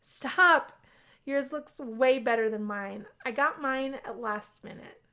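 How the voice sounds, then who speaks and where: A woman plays a shy young college heroine from a visual novel. Her voice is high and bright, anxious and quick to get flustered. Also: full character voice. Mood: bashful. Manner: flustered, stammering when upset.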